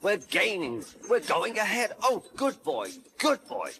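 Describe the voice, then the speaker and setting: A man playing an excited, encouraging railwayman in a storybook, cheering in exclamations. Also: sits high, a little slow, vocal fry, pitched above the performer's own voice, exaggerated voice. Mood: elated, encouraging. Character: excited, encouraging railway engine driver and fireman.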